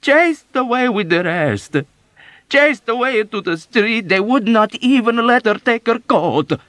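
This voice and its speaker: A man reading an audiobook and voicing a grieving old woman with a slow, mournful wail. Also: a little high, sing-song, husky, dry recording, pitched above the performer's own voice, heavily acted.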